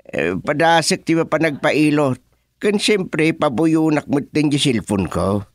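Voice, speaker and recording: A man with a Filipino accent playing an excitable father in a radio comedy drama. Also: on the high side, a little slow, no echo. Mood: pleading, curious. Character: excitable father fretting over his lost cellphone.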